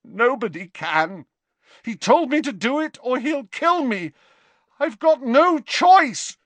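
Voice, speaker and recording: A man's voice: very high voice, lively intonation, slow pace, a bit bright, no room sound, pitched above the performer's own voice, full character voice. A man doing a frightened teenage boy. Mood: desperate. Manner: shaky, desperate.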